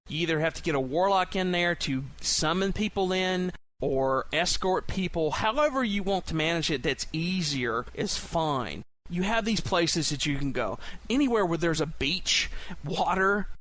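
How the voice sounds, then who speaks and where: Chatty, higher-pitched man hosting a podcast. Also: fairly bright tone. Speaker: podcast host. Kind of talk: casual enthusiastic podcast monologue.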